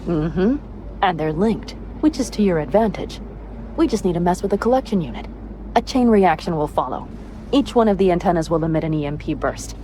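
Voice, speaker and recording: An actress playing a tough young woman mercenary in a video game, brisk, practical and wry. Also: lowish voice, lively intonation, warm tone, lightly acted. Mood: focused, wry. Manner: brisk, practical, wry, casually foul-mouthed. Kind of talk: video game cutscene dialogue, naturalistic. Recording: clear vehicle and ambience noise behind.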